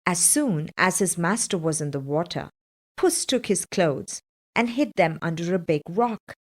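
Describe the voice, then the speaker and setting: A woman's voice: on the low side, expressive, a little slow. A woman narrating a children's fairytale from a script, as a storyteller.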